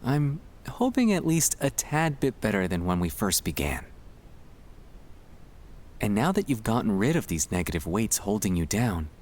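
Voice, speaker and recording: A man speaking in a gentle, soothing, reassuring way, delivering a scripted ASMR guided relaxation to help the listener sleep. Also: lowish voice, very animated, moderate pace.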